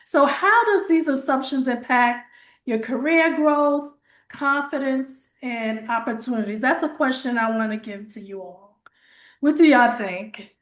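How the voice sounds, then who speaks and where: A woman's voice: high-pitched, a little slow. A woman in a casual podcast discussion who asks the group questions and often answers with short agreeing replies. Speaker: podcast panelist. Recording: echoey room.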